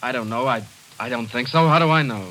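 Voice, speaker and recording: A man's voice: mid-range voice, fast talker, bright, forceful tone, close to an everyday voice, poor audio. Man acting a tense, evasive young fiancé. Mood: edgy, upset. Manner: edgy, evasive. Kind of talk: 1940s radio acting. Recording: hissy old radio recording.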